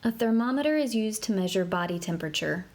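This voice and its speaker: A woman narrating an interactive children's book in a clear, explanatory way, slowing down to spell out medical terms. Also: medium pitch, animated. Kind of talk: scripted read-aloud narration for kids. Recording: clear background noise.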